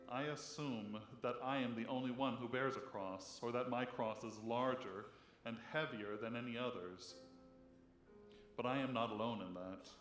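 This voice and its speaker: Guy doing a solemn church reading, measured and reflective, roomy echo, some music behind. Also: mid-range voice. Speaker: church reader. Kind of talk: solemn devotional dramatic reading. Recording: echoey room.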